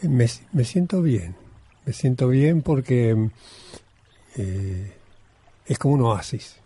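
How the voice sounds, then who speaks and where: A man's voice: medium pitch, lively intonation, slow pace, mellow, slightly rough. A man with an Argentine accent, a Zen monk being interviewed, talking reflectively and searching for words, often repeating a phrase. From Buenos Aires, Argentina. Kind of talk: reflective conversation.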